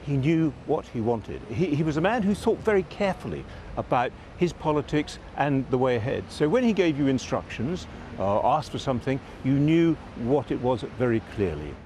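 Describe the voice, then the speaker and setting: A man's voice: mid-range voice, big pitch swings, moderate pace, darkish tone, slight background noise. A man recalling a former colleague in an interview. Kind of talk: interview recollection.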